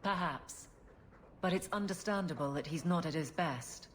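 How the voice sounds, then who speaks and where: A woman's voice: sits low, normal speed, slight background noise, natural voice. A woman voicing a dutiful guard captain. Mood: earnest. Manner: earnest, worried.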